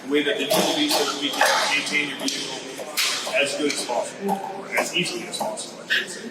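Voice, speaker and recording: A man's voice: on the high side, steady tone, fast. A Canadian man casually explaining electric cars in an earnest, helpful way at a live event. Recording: echoey room, far from mic, loud background noise.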